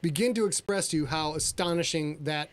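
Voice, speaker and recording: A man's voice: fairly high pitch, fairly quick. A man hosting a casual livestream, joking and swearing while struggling with the slideshow. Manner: joking, swears at tech troubles. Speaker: podcast host.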